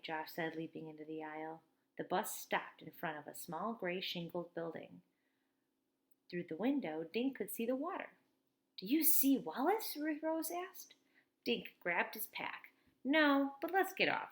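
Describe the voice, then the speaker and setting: A woman in her thirties, a teacher reading a children's chapter book aloud from home into a webcam, with lively read-aloud delivery and quick asides. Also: medium pitch. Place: small room at home. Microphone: webcam at desk.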